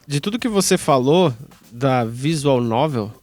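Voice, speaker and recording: A man's voice: medium pitch, lively intonation, a little slow, darkish tone, no room sound, faint music behind. A Brazilian man chatting casually as a participant on a podcast. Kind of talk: casual chat.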